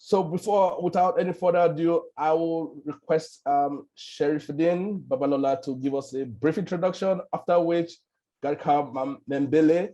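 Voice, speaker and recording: A man moderating an online panel over a video call, relaxed and leaning on "you know" as he talks. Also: a little high, moderate pace, dull audio. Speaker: webinar moderator.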